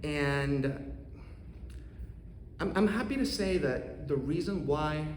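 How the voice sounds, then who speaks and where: A man in his thirties from Costa Rica with a Costa Rican accent, an economics professor giving an earnest, measured prepared talk to a congregation. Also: on the high side, expressive, slight background noise. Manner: earnest, measured, with occasional restarts. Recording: roomy hall reverb, faint ambience.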